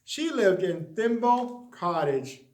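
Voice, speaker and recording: A man with a high voice narrates a children's storybook in an echoey room. He reads expressively, stretching out key words for dramatic emphasis. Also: sing-song, slow pace, darkish tone. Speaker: story reader.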